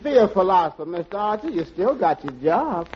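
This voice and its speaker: Wry waiter, lively high voice. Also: male voice, a little fast, loud music behind the voice. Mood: wry consoling. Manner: politely teasing. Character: wry, deferential tavern waiter needling his boss. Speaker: supporting comic actor. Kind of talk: 1940s radio comedy acting. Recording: dull, noisy vintage radio recording.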